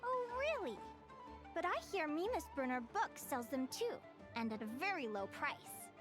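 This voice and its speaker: A woman's voice: high voice, big pitch swings, moderate pace, loud music behind the voice. A woman playing a young, cheerful adventuring advisor in a video game, teaching in a peppy, encouraging way. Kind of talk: scripted video game character acting.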